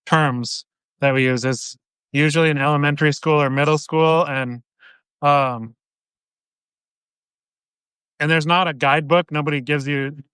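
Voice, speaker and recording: A man being interviewed as an author, explaining in a measured way with "um" pauses. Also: on the high side, fairly even intonation, a bit bright, clear, resonant tone, no room sound, poor audio. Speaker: guest author. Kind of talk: interview answers.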